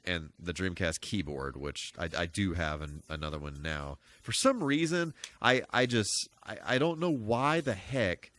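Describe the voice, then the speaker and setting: Low-voiced man chatting on a game stream. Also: a bit bright. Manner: rambling, halting, thinks aloud. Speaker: live streamer, host. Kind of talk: casual gameplay live stream chat.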